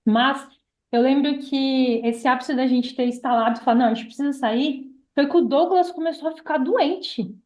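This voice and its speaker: Podcast guest: Brazilian female, about thirty, higher register, casual storytelling, noticeable room echo. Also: normal speed, darkish tone. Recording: roomy, echoing sound.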